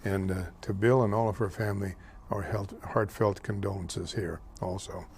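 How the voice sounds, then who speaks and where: An older man hosting a local talk show, speaking haltingly with many fillers and slips over names, louder and more heated on politics. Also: on the low side, fairly even intonation, creaky, a little hiss or hum. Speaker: host.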